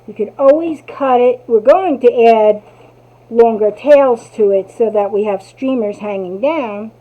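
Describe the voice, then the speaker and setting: A woman's voice: on the high side, measured pace, mellow. A woman from New Jersey with a Jersey accent, casually demonstrating a craft and chatting to herself as she works. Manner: chatty, talks to herself while working. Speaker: craft tutorial host. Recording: tinny, phone-like audio.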